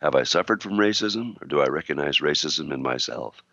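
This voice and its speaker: A man co-hosting an internet talk radio show, reading a scripted introduction with a sore throat that makes his voice gravelly and deep. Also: lively intonation, fairly quick, sharp tone, dry recording, muffled recording.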